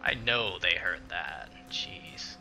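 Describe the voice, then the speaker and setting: A man's voice: low voice, animated, slow, creaky. A man hosting a casual let's play, muttering, swearing and talking to the game's characters. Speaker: gaming video host. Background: game music.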